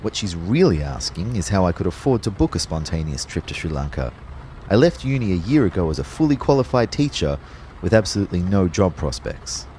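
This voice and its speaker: A man's voice: low-pitched, darkish tone, a little hiss or hum. A man playing a wry young personal trainer who narrates his own life in an audio drama.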